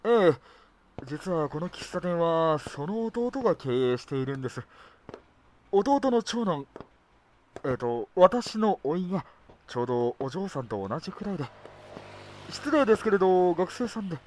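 An actor playing a polite, soft-mannered middle-aged uncle in an audio drama, speaking formally and wistfully. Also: male voice, high-pitched, big pitch swings, normal speed, long pauses, a little hiss or hum. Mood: courteous.

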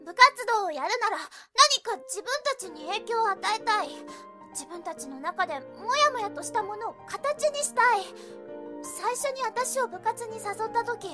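A woman playing an earnest, scolding high school girl in an audio drama, polite but blunt and nagging. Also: lively intonation, moderate pace, over music. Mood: earnest, reproachful. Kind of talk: anime-like Japanese audio drama acting.

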